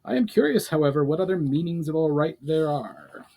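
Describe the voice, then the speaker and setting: Male reader as elder brother warrior: wry, fond, formal, lifted pitch. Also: animated, a little fast, vocal fry, mellow.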